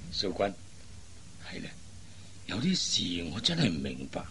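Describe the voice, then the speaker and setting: A man's voice: sits low, huge pitch swings, takes it slowly, fairly bright tone, a little hiss or hum, heavily acted. A man playing a puzzled, kindly middle-aged father in a Cantonese radio drama, hesitant and exclamatory. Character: puzzled, fretful, kindly father of grown daughters.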